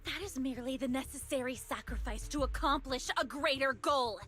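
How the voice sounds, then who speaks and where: A young woman cult fanatic with a high, theatrical voice. She is haughty and taunting, a true believer in an anime game drama. Faint music plays behind her. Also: a little fast, bright, forceful tone. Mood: disdainful. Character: fanatical young female cult villain devoted to her Father.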